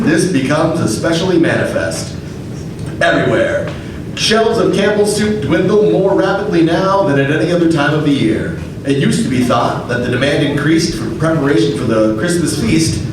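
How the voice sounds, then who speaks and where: A man delivering a formal, declamatory old-time radio announcement. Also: fairly high pitch. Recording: echoey room from far off, noisy.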